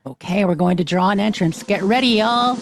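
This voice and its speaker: A woman's voice: mid-range voice, a little fast, noisy background. A woman hosting a casual online group chat, running things as the host of a cast retrospective.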